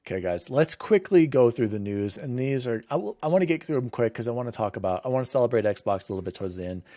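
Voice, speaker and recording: A man's voice: mid-range voice, expressive, fast talker, mellow, no room sound, clean studio sound. A man hosting a casual gaming podcast, talking in an informal, enthusiastic, rambling way. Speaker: podcast host.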